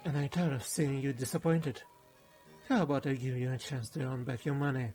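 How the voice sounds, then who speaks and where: A man's voice: mid-range voice, fairly even intonation, fairly quick, a little mellow, faint music behind, natural voice. A man playing a sly, suave aristocratic swindler in a theatrical period game fandub. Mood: teasing. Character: smooth, sly aristocratic male swindler, a Comte.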